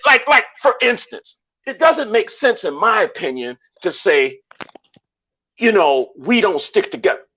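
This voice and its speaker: A man with a Southern accent, from Savannah, Georgia, hosting a casual motivational talk. His voice sits high and swings widely as he preaches, stopping and restarting often. The audio is dull and lo-fi. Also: slightly rough. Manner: animated, preachy, many restarts. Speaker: show host.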